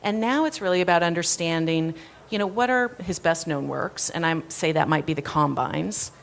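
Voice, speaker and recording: A woman's voice: fairly low pitch, moderate pace, vocal fry. A woman answering an audience question on a conference panel, thoughtful and fluent with occasional restarts. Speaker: foundation executive director. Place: conference stage.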